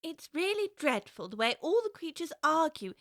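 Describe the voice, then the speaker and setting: An actress playing a polite, curious young girl who speaks earnestly, timid yet quick to object. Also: sits high, very animated, a bit bright, no echo, clean studio sound. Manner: earnest, timid yet indignant, well-spoken. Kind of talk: audiobook drama acting.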